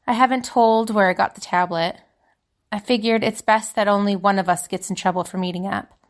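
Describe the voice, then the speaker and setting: A woman's voice: mid-range voice, lightly acted. A woman playing an earnest, apologetic young spellcaster reading out her letter in an audio drama. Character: earnest young female spellcaster writing to a dear friend.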